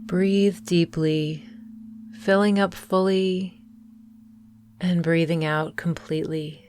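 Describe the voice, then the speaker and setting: A woman guiding a mindfulness meditation in a calm, soothing, measured way, leaving long pauses between phrases. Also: fairly low pitch, a little hiss or hum. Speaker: meditation guide. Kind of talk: guided mindfulness meditation narration.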